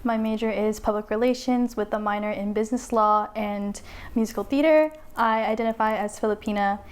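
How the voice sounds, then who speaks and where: A young woman in casual panel chat, telling stories with lively mimicked quotes. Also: mid-range voice, brisk, clean tone. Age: young adult. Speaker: student panelist.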